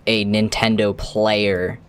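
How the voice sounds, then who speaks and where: A man's voice: fairly low pitch, flat delivery, takes it slowly, clear, resonant tone. A man streaming a video game, talking casually to his chat in a chatty, rambling way with the odd swear. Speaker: gaming streamer. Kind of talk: casual live-stream commentary while playing.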